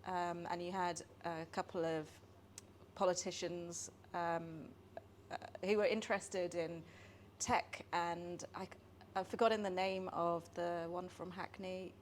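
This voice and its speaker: Woman being interviewed, kinda low-ish voice, lots of um and sort of, faint hum behind her. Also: little pitch movement, measured pace. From United Kingdom. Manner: thoughtful, hesitant with ums and sort ofs. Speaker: guest. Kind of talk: conversational interview.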